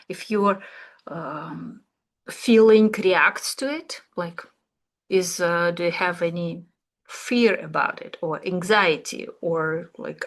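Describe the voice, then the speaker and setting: Slow, searching woman. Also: lowish voice, a bit bright. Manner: slow, searching, with fillers and pauses. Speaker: art therapy instructor. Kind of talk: relaxed reflective class talk.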